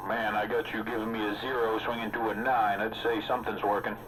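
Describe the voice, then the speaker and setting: A man's voice: fairly low pitch, flat delivery, fast talker, low-quality recording. A man chatting casually as a regular on a radio hobby stream, talkative and joking in a folksy way. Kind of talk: casual chat.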